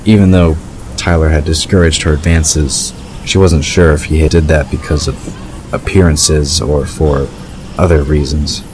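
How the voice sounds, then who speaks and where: A man narrating a scripted story in a measured storytelling delivery. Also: rumbling low, fairly even intonation. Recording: clear background ambience noise.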